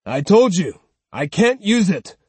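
A man reading an audiobook, voicing a stoic young swordsman who speaks bluntly and forcefully. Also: high-pitched, big pitch swings, measured pace, husky, no room sound, voice pushed up, exaggerated voice. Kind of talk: audiobook character voice.

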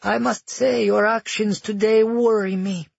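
A man's voice: sits high, fairly even intonation, measured pace, gravelly, husky, no room sound, dull audio, voice pushed up, exaggerated voice. A man playing an anxious young princess in an audiobook, earnest and placating, trying desperately to be believed. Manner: earnest, hushed when shaken.

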